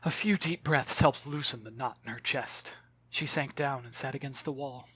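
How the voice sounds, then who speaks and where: A man reading his own novel as an expressive audiobook, with dramatic, measured storytelling. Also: mid-range voice, husky, dry recording. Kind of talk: expressive scripted audiobook narration.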